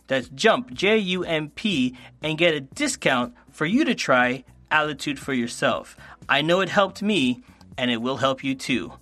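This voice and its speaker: A man's voice: a little high, lively intonation, sharp tone. A man hosting his own podcast, chatting eagerly with a guest and reading his sponsor thanks. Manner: friendly, eager, quick asides. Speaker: podcast host. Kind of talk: upbeat casual interview chat.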